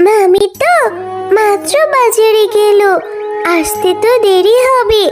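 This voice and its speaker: Tiny squeaky sad orphan kid, slow n plaintive, cartoon dub. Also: female voice. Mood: wistful. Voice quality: thin childlike voice. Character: poor orphan girl, meek, sad, overworked by her aunt. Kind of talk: children's cartoon fairy-tale dubbing. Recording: loud children's music behind.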